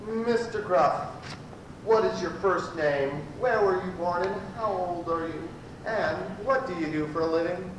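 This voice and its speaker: A man's voice: high voice, unhurried. A man playing a blustering prosecuting lawyer in an amateur old-time radio comedy. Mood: officious. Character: blustering male prosecuting lawyer in a sci-fi courtroom spoof. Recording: echoey distant room, noisy amateur tape.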